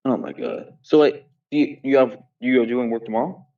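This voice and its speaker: A man's voice: mid-range voice, steady tone, normal speed, mellow, distant mic, muffled recording. A man chatting casually with a friend, curious and full of repeated questions. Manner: curious, asks lots of questions, repeats himself. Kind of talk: casual chat.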